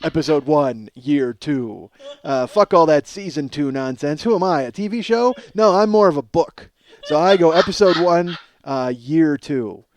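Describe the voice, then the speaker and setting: A man in his forties with a slightly hoarse voice, hosting a podcast as a casual comedic monologue, rambling, profane and self-deprecating. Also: a little high, animated, moderate pace, a little mellow. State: slightly hoarse from strained singing. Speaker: podcast host.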